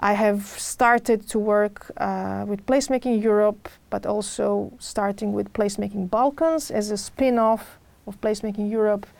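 A middle-aged woman with a Serbian accent answering interview questions about her work, often pausing and repeating words as she searches for phrasing. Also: medium pitch, fairly even intonation, creaky, a little mellow, no echo. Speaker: podcast guest, urban designer.

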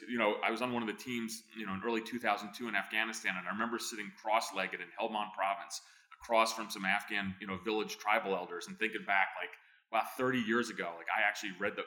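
Fast-talking energetic man, bright voice. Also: lowish voice. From born in Greece, raised in New Jersey. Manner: fast, energetic storytelling with many fillers and restarts. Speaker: guest, former intelligence officer. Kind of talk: conversational interview answers.

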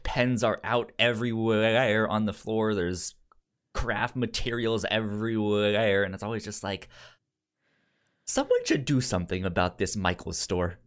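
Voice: mid-range voice, lively intonation, measured pace. Casual podcast co-host, rambling. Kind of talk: casual chat.

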